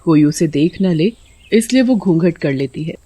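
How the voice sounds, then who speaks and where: A woman's voice: deep voice, lively intonation, moderate pace, mellow, slightly rough, no echo. A woman narrating a scripted story as its storyteller.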